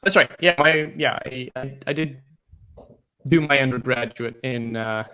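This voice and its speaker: Bright-voiced man, hesitant. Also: mid-range voice, measured pace, clear, resonant tone. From Portugal. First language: Portuguese. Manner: hesitant, many restarts and fillers. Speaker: guest, open source developer. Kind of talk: casual interview chat.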